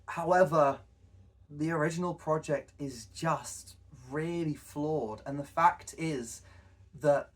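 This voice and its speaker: Young guy chatting casually, kinda high voice, bit far from mic, keeps restarting his phrases. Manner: halting, repeats and restarts phrases. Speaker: co-host, web series creator. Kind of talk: casual retrospective chat with a co-host. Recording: distant from the mic.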